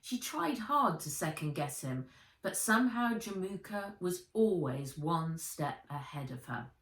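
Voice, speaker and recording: A woman with a slightly low voice reads an adventure story for children. She is expressive and sounds set back from the microphone in a roomy, echoing space. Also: measured pace. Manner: expressive, acts out the dialogue. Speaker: author reading her own book aloud. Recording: roomy echo, distant from the mic.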